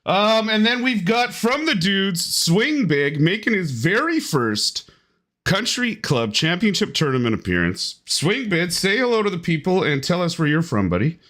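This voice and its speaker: A man's voice: high-pitched, very animated, normal speed, fairly bright tone. A man hosting and commentating a live online golf game tournament in upbeat play-by-play.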